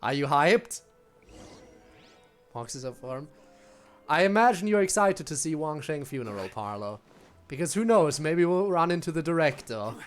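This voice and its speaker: A woman's voice: low-pitched, lively intonation, moderate pace, long pauses, soft background music. A woman live-streaming a game, making casual, dry, teasing comments on the characters' dialogue.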